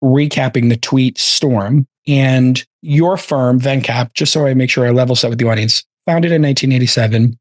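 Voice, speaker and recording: A man with a raspy voice hosts a podcast in a relaxed, chatty way. His voice sits at a middle pitch and is recorded close and dry, with no room sound. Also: moderate pace, few pauses, no echo. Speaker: podcast host. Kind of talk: casual podcast interviewing.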